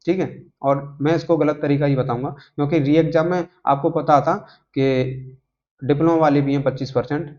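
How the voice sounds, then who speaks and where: A man's voice: on the high side, fairly even intonation, normal speed, darkish tone. A man informally explaining updates to viewers off the cuff, rambling a little and often checking in with short tags. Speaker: YouTube host giving job exam updates. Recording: dull lo-fi microphone sound.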